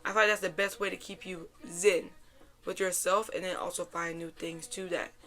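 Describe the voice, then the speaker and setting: A young woman hosting a casual, chatty life-update podcast in an informal, slangy way, her voice a little raspy and hoarse. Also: on the low side, fairly even intonation, moderate pace, a bit bright. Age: young adult. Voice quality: slightly raspy, worn voice. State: hoarse after a weekend out. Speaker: podcast host.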